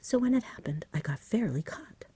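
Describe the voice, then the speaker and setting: A woman voicing a lazy, genial, poetry-loving male dragon from a storybook in a soft whisper, with a chatty, polite, dreamy manner. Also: lowish voice, expressive, normal speed, husky. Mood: languid, chatty.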